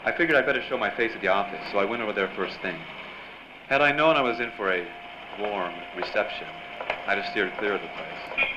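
An actor playing a hard-boiled 1940s radio drama newspaper reporter, narrating in a wry, clipped way. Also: male voice, lowish voice, lively intonation, brisk, natural voice. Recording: dull, noisy live stage recording.

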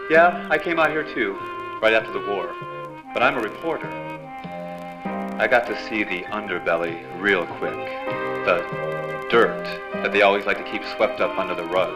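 A man's voice: on the low side, natural voice, poor audio. A man playing a world-wise newspaper reporter in a 1940s noir-style radio drama.

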